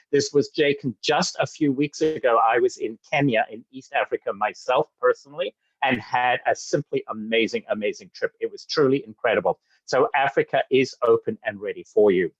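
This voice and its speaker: A man from Zambia giving an upbeat sales presentation, talking eagerly with frequent fillers and quick restarts. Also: medium pitch, no room sound, dull audio. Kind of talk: enthusiastic webinar presentation.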